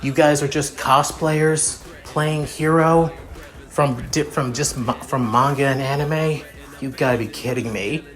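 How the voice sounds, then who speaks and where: A man's voice: medium pitch, steady tone, unhurried, a bit bright, lightly acted. A man voicing a skeptical teenage boy with a dismissive, mocking manner in an amateur anime story. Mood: scornful. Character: scornful, skeptical raven-haired teenage boy. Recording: music behind the voice.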